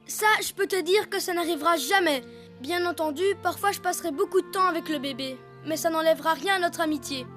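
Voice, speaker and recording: A woman's voice: very high-pitched, a little fast, fairly bright tone, soft background music. A woman voicing an eager, good-hearted young boy turtle with an earnest, childlike manner in a French-dubbed children's cartoon.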